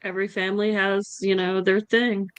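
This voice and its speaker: Woman guest, casual anecdote-teller, mid-pitched, dry mic. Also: little pitch movement, moderate pace, smooth, no room sound. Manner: joking, chiming in with anecdotes. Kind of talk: casual group chat on a stream.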